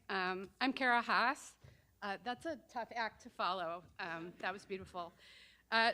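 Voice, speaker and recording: Bright-voiced woman with many ums. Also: mid-range voice. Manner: frequent ums and uhs. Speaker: doctoral student and environmental educator. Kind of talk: award acceptance speech.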